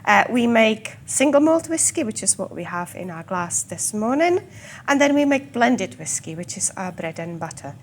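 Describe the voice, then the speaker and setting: A woman from the Czech Republic with a Czech accent and a slight Scottish tinge, guiding a tour of a whisky collection and explaining it patiently, with small pauses. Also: mid-range voice, big pitch swings, a little hiss or hum. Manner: fluent, with filler pauses and slow stressed words. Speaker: whisky guide. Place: whisky collection room, indoors.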